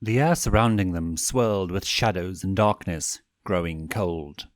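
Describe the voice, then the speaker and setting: A man's voice: low voice, measured pace, no room sound, clean studio sound. A man narrating fan fiction as a scripted, dramatic audiobook reading.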